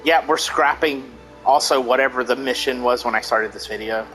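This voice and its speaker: Casting: male gamer, mid-pitched, blunt and casual, commentating over light game music. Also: normal speed, bright, forceful tone. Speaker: let's-play gamer.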